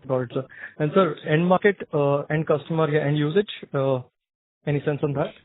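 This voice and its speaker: A man asking questions as an analyst on a conference call, halting and deferential. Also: on the high side, no room sound. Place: conference call over phone line. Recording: narrow dry phone-line audio.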